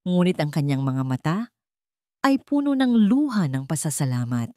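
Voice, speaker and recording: A woman narrator delivers a scripted dramatic story. Her pitch sits a little low for a woman, and the sound is dry, with hardly any room in it. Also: sing-song, moderate pace, a little mellow, no echo.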